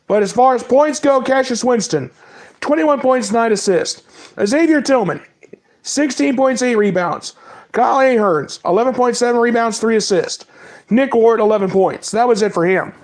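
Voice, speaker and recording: A man with a fairly high voice and a cold runs through game scores and player stats in a loose, casual monologue. He reels off the numbers in quick lists. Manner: reads stats in quick lists, apologizes for mispronouncing names. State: has a cold. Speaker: sports talk host. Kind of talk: casual sports recap monologue.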